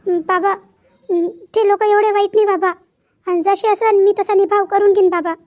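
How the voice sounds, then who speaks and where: A woman voicing a pleading, remorseful young married daughter in a cartoon family drama, halting and repetitive, with a Vidarbha Marathi accent. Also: very high-pitched, steady tone, muffled recording.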